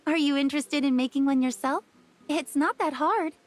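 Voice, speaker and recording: A woman's voice: high-pitched, unhurried. A woman playing a cheerful young tribeswoman in a game, talking in a friendly, casual way. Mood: friendly, encouraging. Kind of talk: game character dialogue. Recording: music behind the voice.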